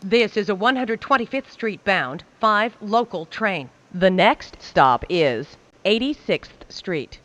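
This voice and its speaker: A woman reading scripted subway train announcements in a measured, carefully enunciated way, phrase by phrase. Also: medium pitch, expressive, a bit bright. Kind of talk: scripted automated transit announcements.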